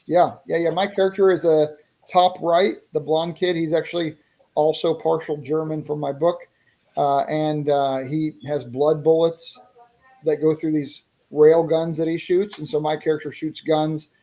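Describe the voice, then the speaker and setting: A man's voice: a little high, little pitch movement, moderate pace, dark tone. A man talking casually as a guest on a podcast, enthusiastic and explanatory, often repeating his phrases. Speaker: interview guest, indie comic creator promoting a fighting game.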